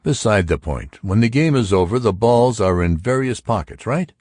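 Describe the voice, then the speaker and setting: A man's voice: lowish voice, animated, normal speed, a little mellow, no echo, clean studio sound, pitched above the performer's own voice. A man voicing an argumentative intellectual who expounds theories to friends in an audiobook. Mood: emphatic, explaining. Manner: emphatic, pedantic. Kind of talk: audiobook character dialogue.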